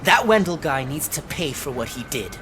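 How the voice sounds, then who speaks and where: Casting: hot-headed cop for a game, high sharp voice, lively, insistent. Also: male voice, normal speed, a little hiss or hum. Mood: indignant. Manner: insistent, heated. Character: indignant, agitated male police officer. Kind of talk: video game cutscene acting.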